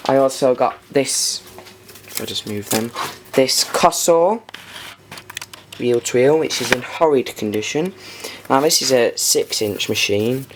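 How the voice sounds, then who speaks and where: A British man giving an informal hands-on show-and-tell as a hobbyist presenter, halting as he thinks aloud. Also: medium pitch, noisy background. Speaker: hobbyist presenter showing restored vintage tape machines.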